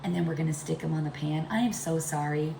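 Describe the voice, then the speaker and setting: A middle-aged woman talks casually through a home recipe. Her voice is deep and congested from a chest cold, with a gravelly creak. The kitchen around her is echoey. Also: fairly even intonation, fast talker. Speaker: home cooking video host. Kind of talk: casual cooking demonstration talk. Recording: echoey room with background noise.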